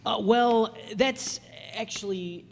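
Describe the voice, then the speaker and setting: A man's voice: sits high, takes it slowly, gravelly, full character voice. A man playing a bashful, awkward schoolteacher suitor, hesitant and polite, in old-time radio comedy style. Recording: echoey hall, long reverb.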